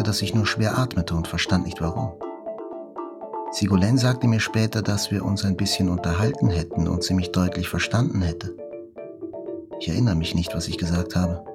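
An actor playing a wounded journalist who recalls an attack in a measured, reflective, scripted narration. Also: male voice, low voice. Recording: prominent music under the narration.

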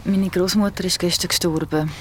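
A woman's voice: lowish voice, steady tone, fairly quick, slight background noise, close to an everyday voice. A woman playing an understated, bereaved friend with a Swiss German accent in colloquial audio drama dialogue. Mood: subdued, accepting. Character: bereaved friend, quietly accepting a grandmother's death.

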